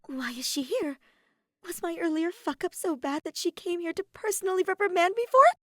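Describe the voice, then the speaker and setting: A flustered young actress with a crush, pitched high and loud. She stammers breathlessly and bursts out dramatically, swooping in panic. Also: animated, fairly quick, no echo, studio quality. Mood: panicked.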